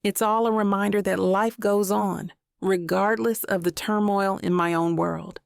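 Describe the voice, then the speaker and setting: A woman's voice: medium pitch, fairly even intonation, a little slow, gravelly, clean tone, no echo. Woman narrating romance, very dry close sound. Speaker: audiobook narrator. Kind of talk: scripted first-person romance narration.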